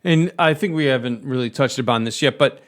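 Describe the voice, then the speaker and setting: A man's voice: mid-range voice, brisk, a bit bright. An American man hosting a podcast interview in a casual, chatty, discursive way. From United States. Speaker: podcast host.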